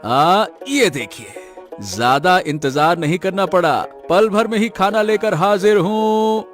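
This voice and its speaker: A man's voice: high-pitched, lively intonation, slow, soft background music. A man voicing a rude, impatient village eatery owner in a Hindi cartoon, brusque and nagging. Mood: hurried. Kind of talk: Hindi cartoon story dialogue.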